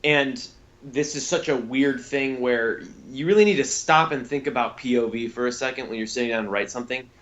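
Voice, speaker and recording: A man's voice: mid-range voice, brisk, a bit bright. A man co-hosting a podcast, giving casual critique in a rambling, emphatic way on slightly lo-fi audio. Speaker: podcast co-host and reviewer.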